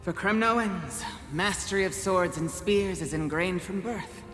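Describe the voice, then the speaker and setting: Forceful, echoing warrior mother. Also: fairly low pitch. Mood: proud, firm. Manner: commanding, deliberate, formal. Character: proud, stern warrior queen mother. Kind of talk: video game voice acting. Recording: echoing hall, long reverb, faint music.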